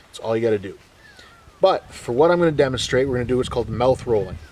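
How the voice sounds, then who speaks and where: A man teaches bushcraft skills in a relaxed, plain-spoken way, with easy jokes between the steps. His voice sits at an ordinary middle pitch. He stands a little back from the microphone. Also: fast talker, recorded from a distance, slight background noise, poor audio. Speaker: bushcraft instructor. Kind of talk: hands-on outdoor skills demonstration.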